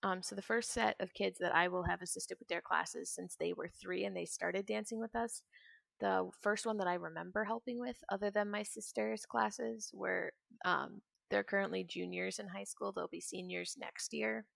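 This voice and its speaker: A woman's voice: mid-range voice, fairly even intonation, moderate pace, gravelly, a bit bright, no room sound. A woman in her twenties chatting casually and ramblingly as she streams a video game, sometimes trailing off into mutters to herself. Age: 20s. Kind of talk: casual chat while playing a video game.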